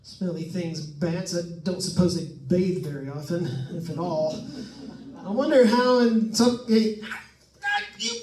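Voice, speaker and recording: A man's voice: high-pitched, a little slow, dark tone, slight background noise. An actor playing a nervous, wisecracking man alone in a haunted house, chatting to listeners in an old-time radio suspense style. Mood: startled, jittery. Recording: echoey room, distant mic.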